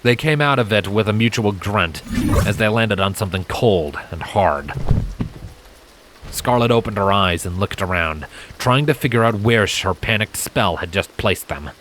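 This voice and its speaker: A man's voice: fairly low pitch, lively intonation, moderate pace, a bit bright, a little hiss or hum, poor audio. A man reading fan fiction as a dramatic, scripted storybook narration. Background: music and rain effects.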